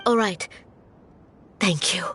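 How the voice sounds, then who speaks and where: An anxious mother in a fantasy game, with a mid-pitched voice. She is deferential and pleading, and music plays clearly behind her. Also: slow pace, fairly bright tone. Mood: grateful. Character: worried mother of a sick boy, pleading. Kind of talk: video game voice acting.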